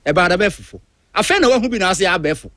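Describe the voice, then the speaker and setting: A Ghanaian man hosts a drive-time radio show, his voice pitched high for a man. He argues his points with emphatic, animated energy, moving freely between languages. The studio sound is dry and close, with no room around it. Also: a little fast, no room sound. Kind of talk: opinionated talk-radio monologue.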